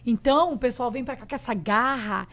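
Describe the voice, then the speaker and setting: A woman hosts a relaxed podcast conversation. Her voice sits high, and she backs up her guest with short agreeing remarks and her own brief stories. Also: brisk. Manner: agreeing, supportive interjections. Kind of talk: casual chat.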